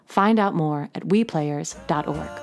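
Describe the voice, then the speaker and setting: Lower-pitched woman reading scripted credits. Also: a little slow, over music. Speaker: podcast narrator reading closing credits. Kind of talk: scripted narration.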